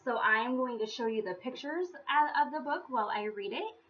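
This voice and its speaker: A woman's voice: high-pitched, animated, brisk, a bit bright. A woman hosting storytime, chatting casually and informally as she introduces a picture book. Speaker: storytime host. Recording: dull lo-fi sound, faint noise.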